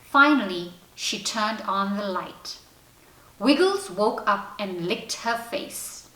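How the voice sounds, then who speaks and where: A woman reading a children's storybook aloud in an expressive, animated, deliberate way. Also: medium pitch. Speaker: teacher reading a children's picture book aloud. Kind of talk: expressive scripted storybook narration. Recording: roomy echo, distant from mic.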